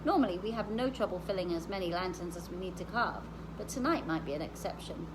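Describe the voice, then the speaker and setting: A woman reading the part of a calm, practical, knowledgeable woman explaining things in a storybook. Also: on the low side, fast, noisy background, lightly acted.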